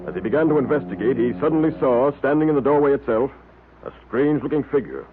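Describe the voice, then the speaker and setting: An actor playing a worried, courteous ship's captain in a radio drama, formal and grumbling. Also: male voice, mid-range voice, fast, narrow, tinny audio, natural voice. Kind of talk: 1930s-40s radio drama acting. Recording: thin, noisy vintage radio recording.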